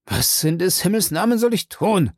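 A reader voicing an excitable, obsessive man who calls out commands in an urgent voice. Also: mid-range voice, normal speed, no room sound, clean studio sound, pitched above the performer's own voice, close to an everyday voice. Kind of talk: audiobook character voice.

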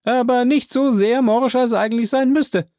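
A reader voicing a frightened male servant who shouts down from a tree in broken, pleading speech. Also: medium pitch, steady tone, normal speed, no echo, studio quality, voice pushed up, natural voice. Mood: eager. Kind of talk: audiobook character voice.

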